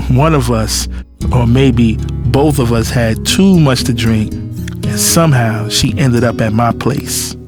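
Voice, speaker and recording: A man's voice: medium pitch, slow, lightly acted. Cocky playboy man acting, music behind. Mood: reflective, sensual. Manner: slangy, nonchalant, sensual. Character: cocky, blunt playboy lover narrating his affair. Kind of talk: first-person audio drama narration. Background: music with guitar.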